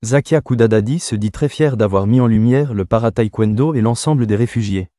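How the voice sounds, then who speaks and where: A man's voice: medium pitch, a little fast, dark tone, no room sound, clean studio sound. A man newsreader presenting a scripted news bulletin.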